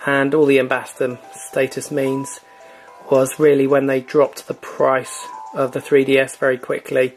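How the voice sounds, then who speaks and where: A man's voice: medium pitch, moderate pace, faint music behind, low-quality recording. A man hosting a gaming channel, chatting casually with many fillers as he walks through a console's online store. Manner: relaxed, filler-heavy, chatty. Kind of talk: casual screen walkthrough.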